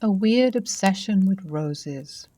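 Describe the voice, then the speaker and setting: A woman host reading a first-person paranormal story aloud and then chatting about it in a calm, measured way. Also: mid-range voice. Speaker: host and narrator.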